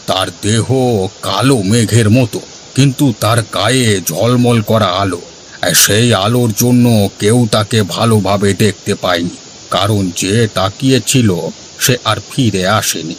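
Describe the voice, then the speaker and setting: Man playing a slow, low-voiced grave old grandfather. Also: a little hiss or hum, close to an everyday voice. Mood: eerie storytelling. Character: grave old village grandfather telling eerie legends, warning children. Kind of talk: cartoon storytelling.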